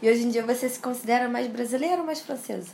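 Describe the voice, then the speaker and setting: A woman's voice: on the high side, fast, gravelly, darkish tone, a little hiss or hum. A woman interviewer who asks friendly follow-up questions and keeps the talk going with short "uhum" replies. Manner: prompts with short backchannels, asks follow-ups.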